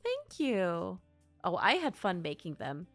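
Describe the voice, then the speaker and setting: A woman's voice: fairly low pitch, huge pitch swings, takes it slowly, a bit bright, faint music behind, heavily acted. A woman playing a teasing, supportive friend in a naturalistic audio drama. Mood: playful. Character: playful, supportive girlfriend and friend in costume.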